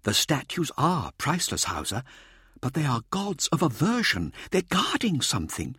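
Audiobook role: male reader as excitable male expedition scientist, insistent showboat, high voice, very animated, didactic. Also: moderate pace, slightly rough, no room sound, clean studio sound, full character voice. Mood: intense. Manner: theatrical, pressing, didactic. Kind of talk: audiobook character dialogue.

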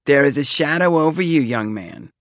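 A man's voice: on the high side, lively intonation, no echo, studio quality, pitched above the performer's own voice. A man voicing a theatrical middle-aged woman fortune-teller with a thick Eastern European accent, portentous and insistent, in an audiobook. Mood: ominous. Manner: portentous, dramatic pauses. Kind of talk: audiobook character acting.

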